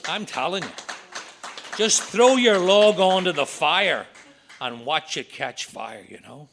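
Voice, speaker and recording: A middle-aged man with a Northern Irish accent, giving an earnest talk at a recovery meeting with quick asides. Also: sits high, expressive, fairly bright tone, slight background noise. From Northern Ireland. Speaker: AA speaker. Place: meeting room.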